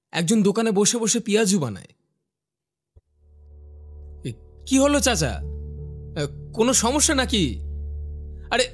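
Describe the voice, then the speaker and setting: A man playing a curious, puzzled young office worker new to a village, musing and questioning in a horror cartoon. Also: high voice. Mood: surprised. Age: young adult. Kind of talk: horror cartoon dialogue and inner monologue. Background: faint music.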